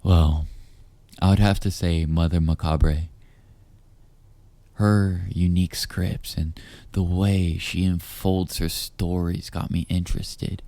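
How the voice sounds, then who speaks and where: Very deep, dark-voiced man, close and dry. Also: flat delivery, takes it slowly, no echo. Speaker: voice actor. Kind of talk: intimate audio roleplay monologue, addressing listener as darling.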